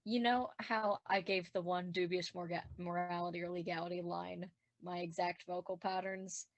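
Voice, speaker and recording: A woman with a lowish voice talks casually as part of a group commentary. She rambles a little and corrects herself as she explains. The sound is dry, with very little room. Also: level tone, moderate pace, no echo. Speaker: podcast creator and voice actor.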